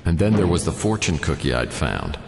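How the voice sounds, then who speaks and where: A man's voice: a bass voice, sing-song, a little fast, vocal fry, echoey room, in a large hall, heavy background noise, full character voice. An actor playing a wry, hard-boiled private detective, narrating his case dryly in a noir radio drama. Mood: wry, brooding. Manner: dry, wisecracking.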